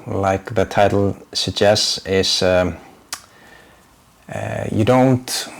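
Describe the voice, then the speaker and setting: A man in his forties with an Icelandic accent, speaking English as a second language in a casual vlog, hesitant and often searching for words with many fillers. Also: on the low side. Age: 40s. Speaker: YouTuber and graphic designer. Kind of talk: casual unscripted vlog talk.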